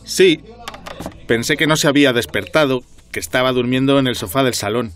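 A man's voice: mid-range voice, a little fast, fairly bright tone, soft background music, close to an everyday voice. An actor playing a chatty, observant, slightly self-important doorman in a radio drama, talking colloquially with a repeated verbal tic. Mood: regretful, chatty. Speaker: building doorman.

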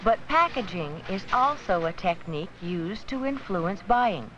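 A woman giving measured, scripted narration for an educational film about supermarket shopping. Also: medium pitch, lively intonation, a bit bright, a little hiss or hum. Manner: measured, instructive. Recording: dull 1970s 16mm film soundtrack with music.

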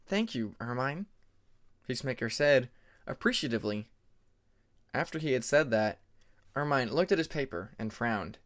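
Male audiobook narrator, scripted fantasy storytelling, expressive pitch movement. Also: moderate pace, long pauses.

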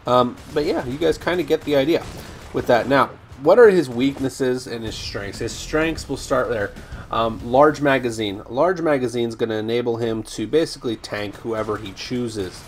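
A man commentating over his own gameplay, chatty and rambling with ums and restarts, his voice slightly raspy today. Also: mid-range voice, moderate pace, a little hiss or hum. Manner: rambling, frequent ums and restarts, addresses viewers as guys. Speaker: gaming YouTuber.

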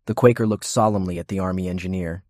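A man's voice: deep voice, steady tone, fast talker, darkish tone, no echo, clean studio sound. A man reading scripted war fiction as an audiobook narrator.

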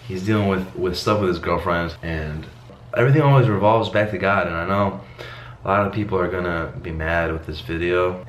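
A man's voice: low-pitched, fairly bright tone. A young man whose first language is Croatian, speaking earnestly and encouragingly to his phone camera in a small bedroom. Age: young adult. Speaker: fitness vlogger. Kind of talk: talking to camera, motivational. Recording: roomy echo, faint background noise.

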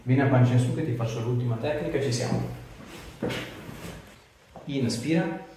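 A man's voice: mid-range voice, moderate pace, mellow. A man in his thirties, a chiropractor giving short hands-on instructions and chatting casually with a patient. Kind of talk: calm hands-on treatment instructions with casual chat. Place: small tiled treatment room, indoors. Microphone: small wireless clip-on mic on chest. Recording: echoey room, distant, some noise.

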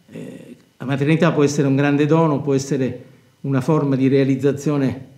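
An older male academic reflects on literature. He speaks deliberately, pausing to find the precise word, with a scholarly bearing. The sound is roomy and echoing, like an indoor stage. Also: medium pitch, darkish tone. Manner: scholarly, deliberate, hesitant searching for words. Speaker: university professor of performing arts. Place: dark indoor theatre stage set, seated at table.

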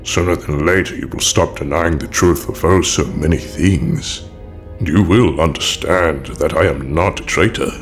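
A man playing an elegant, sinister older dark lord in an audio drama, speaking in a measured, formal, menacing and coldly persuasive way. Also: rumbling low. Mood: grandiose, menacing. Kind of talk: fan audio drama acting. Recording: music behind the voice.

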